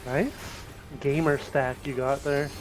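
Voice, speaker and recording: A man's voice: medium pitch, fairly even intonation, takes it slowly. A man on a gaming team, giving casual callouts and commentary during play. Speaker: gaming teammate.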